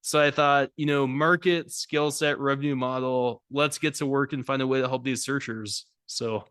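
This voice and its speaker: Male podcast guest, smooth clear voice, brisk businesslike, dry sound. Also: mid-range voice, steady tone, a bit bright, no room sound. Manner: brisk, businesslike, runs through lists. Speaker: podcast guest, lending advisor. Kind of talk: casual interview chat.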